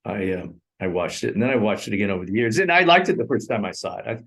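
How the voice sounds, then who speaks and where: A man in his sixties co-hosting a casual movie review over a remote call, talking in a rambling, animated way and laughing often. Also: fairly low pitch, quick pace, vocal fry. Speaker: co-host. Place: in Thailand.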